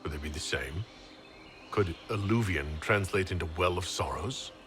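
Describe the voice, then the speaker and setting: A man playing a steady, modest hero who leads an army in a fantasy game, with a plain, commanding, direct manner. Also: low voice, moderate pace, soft background music. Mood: questioning. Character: steady, modest heroic male leader of a holy army. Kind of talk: dramatic fantasy game acting.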